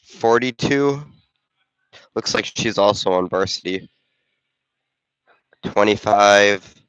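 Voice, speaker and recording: Man, congested, deep voice, dry close sound, casual game commentator. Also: takes it slowly, smooth, no echo, highs cut off. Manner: relaxed, chatty, thinking aloud. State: congested from a cold. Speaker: volunteer game commentator and camera operator. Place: school gym during a basketball game.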